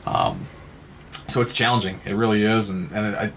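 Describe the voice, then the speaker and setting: A man speaking as a guest in a conversational interview, halting and often repeating words and restarting. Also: fairly low pitch, lively intonation, vocal fry, a bit bright, slight background noise, low-quality recording. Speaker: guest from a suicide-loss nonprofit.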